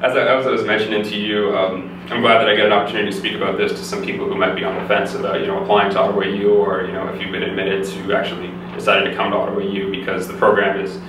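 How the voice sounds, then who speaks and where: A young man from Canada, a physiotherapy student, explaining things in a steady, easygoing way in an interview. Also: fairly low pitch, rapid-fire, few pauses. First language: English. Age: young adult. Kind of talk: casual interview answers. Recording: echoey room, distant mic, background noise.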